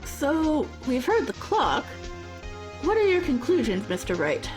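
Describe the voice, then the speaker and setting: A woman puts on an elderly male judge from a courtroom game, stern and formal. The delivery is measured and commanding, with a high-pitched, lively voice. Game music plays clearly behind it. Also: heavily acted. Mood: measured, questioning. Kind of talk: reading visual novel game dialogue aloud.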